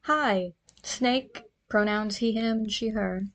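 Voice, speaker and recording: A woman's voice: medium pitch, slow. A woman giving a prepared listener voice message, speaking earnestly and with enthusiasm about a story.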